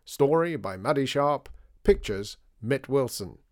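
A man voicing an eager, bossy young girl in a storybook, with an excitable, chirpy manner. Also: mid-range voice, a little slow, no room sound, clean studio sound, pitched above the performer's own voice, lightly acted. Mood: proud reading aloud.

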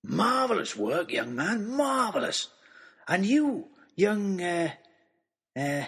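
Man voicing a jovial giant old man, booming exclamations, lively, husky tone, storybook audio. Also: slow pace, full character voice. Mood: delighted. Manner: booming, delighted, gushing exclamations.

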